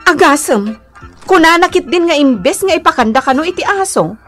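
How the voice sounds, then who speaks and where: A woman with an Ilocano accent playing a humble, excitable village wife in a radio comedy drama, exclaiming expressively. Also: very high-pitched, normal speed, faint music behind. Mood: indignant.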